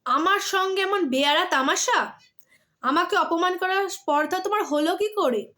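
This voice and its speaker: A woman playing an imperious, quick-tempered male emperor in a storybook tale, commanding and indignant. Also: extremely high pitch, sharp tone, pitched above the performer's own voice. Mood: angry. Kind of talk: dramatic storybook character reading.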